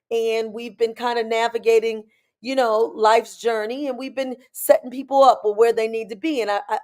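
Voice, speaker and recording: A woman with a hoarse, raspy voice, hosting a casual live-stream chat as a spiritual coach and talking familiarly to her viewers. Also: a little high, darkish tone. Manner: chatty, familiar, calls viewers "baby" and "y'all".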